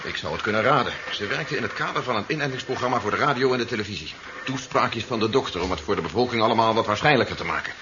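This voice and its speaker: Male actor as authoritative general, radio sci-fi serial: rapid, brisk, commanding; noisy vintage sound. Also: on the low side, lively intonation, lightly acted. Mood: urgent, reasoning. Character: decisive, authoritative male general leading a secret mission. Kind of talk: 1970s Dutch radio drama acting. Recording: noisy, dull 1970s radio recording.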